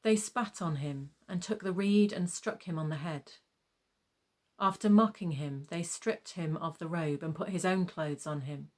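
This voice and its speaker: A woman reading a scripted gospel narration in a measured, solemn manner. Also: on the low side, darkish tone.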